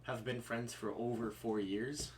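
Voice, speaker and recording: A man's voice: medium pitch, fairly even intonation, measured pace, poor audio. A young man co-hosting a casual gaming podcast, rambling with lots of fillers. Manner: rambling, filler-heavy, jumps into mock voices. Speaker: podcast co-host. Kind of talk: casual chat.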